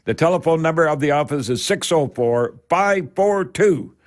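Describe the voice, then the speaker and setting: A man's voice: on the high side, lively intonation, moderate pace. An older Canadian man hosting a home improvement call-in radio show in a folksy, rambling, emphatic way, speaking straight to his listeners.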